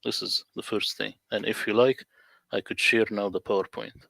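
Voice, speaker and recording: A man from the Middle East who speaks Arabic natively, talking as a guest over a video call in a deliberate, emphatic, lecturing way. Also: fairly low pitch, fairly even intonation, gravelly, sharp tone, no room sound. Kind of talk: casual livestream conversation.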